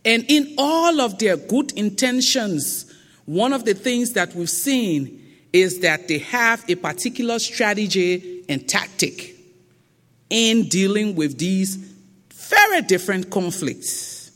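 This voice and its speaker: Slow Liberian woman lecturing in a hall. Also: medium pitch, lively intonation, a bit bright. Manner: slow, deliberate, emphatic. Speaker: guest speaker. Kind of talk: public lecture. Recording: long reverb of a lecture hall.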